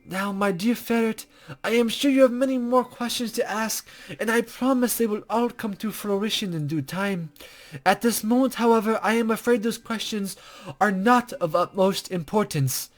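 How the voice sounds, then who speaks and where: A man putting on a high-voiced, cryptic spirit lady. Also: lightly acted. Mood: gently reassuring. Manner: measured, knowing, gently condescending, formal. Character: cryptic, serene female guide to a mystical afterlife. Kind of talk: character reading from a visual novel.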